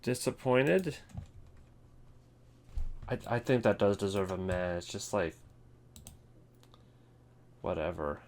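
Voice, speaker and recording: A man's voice: fairly low pitch, expressive, slow pace, long pauses, a little hiss or hum. A man hosting a video game ranking, giving wry, opinionated commentary in a casual, improvised way.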